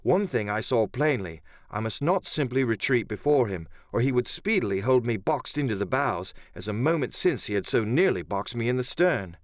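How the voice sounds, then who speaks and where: A man's voice: medium pitch, expressive, moderate pace, few pauses, no room sound, studio quality. A man narrating an adventure audiobook in the first person, with theatrical, literary storytelling.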